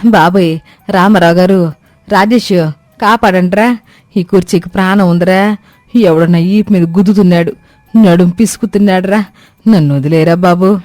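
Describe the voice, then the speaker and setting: A theatrical older village mother-in-law, acted by a woman, grumbles and exclaims in a cartoon moral tale. Her voice is dark and slightly low, recorded very dry with soft music behind it. She scolds in earthy, colloquial speech. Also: moderate pace, long pauses, no echo, natural voice. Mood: panicked. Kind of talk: animated moral story cartoon dubbing.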